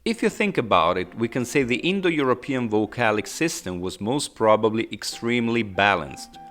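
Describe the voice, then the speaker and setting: A fairly low-voiced man with an Italian accent talks through a linguistics lesson in a clear, explanatory way, now and then slipping in a playful aside. His voice rises and falls in a lively way, and faint music sits quietly behind him. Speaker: linguistics teacher. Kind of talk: scripted educational narration.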